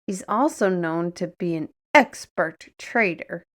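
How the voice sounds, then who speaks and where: A woman playing a dry, exasperated fantasy guide in an audio roleplay, with a wry, teasing, unhurried manner. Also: on the low side, lively intonation. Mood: informative.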